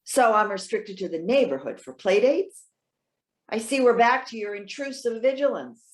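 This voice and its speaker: A proud, independent old woman, played by an actress in a staged play reading. Her pitch swings widely as she talks, articulate and defensive, with a sarcastic edge. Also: expressive, normal speed, lightly acted. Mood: wary, testy. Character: proud, independent elderly woman, indignant and sharp-witted. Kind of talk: readers theater stage acting.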